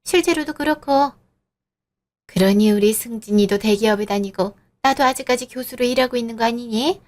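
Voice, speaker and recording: A woman's voice: on the high side, moderate pace, darkish tone, lightly acted. Sneering older woman, condescending, lecturing, storybook drama, read by a woman. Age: 60s. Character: snobbish older woman professor, condescending mother-in-law. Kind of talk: audio drama reading.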